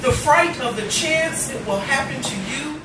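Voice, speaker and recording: A middle-aged woman reading poetry live, with deliberate, dramatic phrasing and pauses. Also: high-pitched, far from the mic. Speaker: poet, featured reader. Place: indoor cafe reading. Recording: noisy, echoey room recorded from afar.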